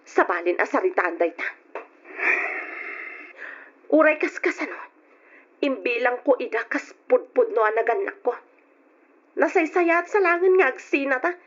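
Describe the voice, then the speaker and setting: Long-suffering young wife acted by a woman, Ilocano accent, high voice, emotional and reproachful, old radio drama on a dull recording. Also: animated, a little slow, long pauses. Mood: reproachful, pleading. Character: long-suffering adult daughter and wife of a drunkard.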